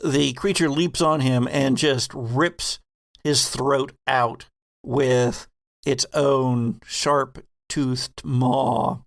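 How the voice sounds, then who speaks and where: A man's voice: medium pitch, no echo. A man narrating a horror sci-fi tabletop game as game master, with wry, vivid description.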